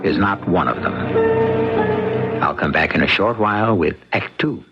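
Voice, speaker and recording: A man's voice: lowish voice, huge pitch swings, unhurried. An American man hosting and narrating a 1970s radio drama from a script. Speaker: host and narrator. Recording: dull vintage radio recording, music behind.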